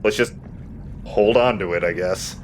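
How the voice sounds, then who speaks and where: A man's voice: sits low, unhurried, a little hiss or hum. A man giving casual, chatty gaming commentary to a friend as he plays. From United States. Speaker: let's play host playing the game.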